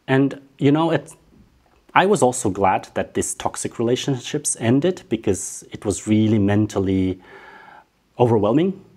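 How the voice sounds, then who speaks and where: A man's voice: lowish voice, darkish tone, husky. A man giving a candid personal testimony, telling his story and acting out remembered dialogue. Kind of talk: personal life testimony to camera.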